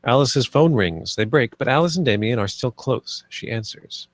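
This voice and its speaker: Male narrator for screenplay action lines, medium pitch, closely recorded, table-read style. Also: expressive, normal speed, no room sound. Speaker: stage-direction narrator.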